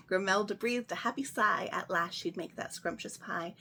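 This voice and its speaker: A woman hosting a children's story time, reading a picture book aloud in a gentle, sing-song way. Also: medium pitch, measured pace, a little hiss or hum.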